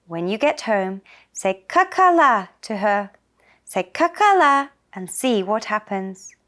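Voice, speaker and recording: A woman's voice: medium pitch, sing-song, slow pace, a bit bright. A woman voicing a kindly, mysterious old man of the woods in a storybook folktale, patient and instructive. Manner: patient, instructive, repeating himself.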